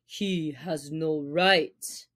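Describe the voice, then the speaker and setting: Lady putting on a grumpy dad wolf, deeper voice, curt and stern, slow storybook delivery. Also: a bit bright, full character voice. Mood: angry. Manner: stiff, gruff, curt. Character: stern, proud, irritable father wolf.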